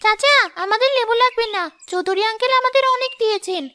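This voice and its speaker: A woman voicing a bright, earnest little girl. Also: very high voice, quick pace, slight background noise. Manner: earnest and pleading. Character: young village girl, kind and earnest. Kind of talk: cartoon voice acting.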